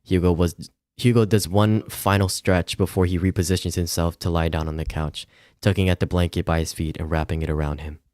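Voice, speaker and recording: Deep-voiced man streaming a game. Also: steady tone, brisk, few pauses, darkish tone, dry recording, studio quality. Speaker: streamer playing a visual novel. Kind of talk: casual gameplay commentary and reading game text aloud.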